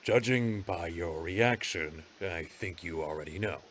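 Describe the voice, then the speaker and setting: Low, calm interrogator. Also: male voice, a little hiss or hum. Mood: cool. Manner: measured, insinuating. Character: calm, probing male interrogator with dry needling manner. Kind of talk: visual novel voice acting.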